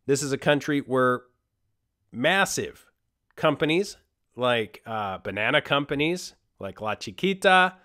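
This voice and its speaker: A man's voice: medium pitch, lively intonation, measured pace, sharp tone. A man from Costa Rica giving an emphatic, conspiratorial monologue as a podcast host. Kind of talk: monologue commentary.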